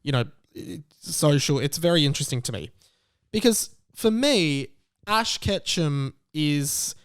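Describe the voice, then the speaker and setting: A man in his late twenties with an Australian accent, hosting a casual solo podcast in halting phrases, stretching out key words for emphasis. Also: on the high side, lively intonation, clean tone, no room sound, clean studio sound. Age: late 20s. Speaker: podcast host.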